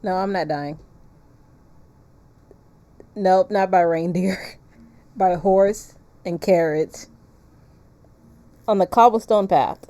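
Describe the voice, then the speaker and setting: Mellow, unhurried woman streaming a game. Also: on the low side. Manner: playful, joking, crude humor. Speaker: livestreamer. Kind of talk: casual game-stream chat and reading aloud.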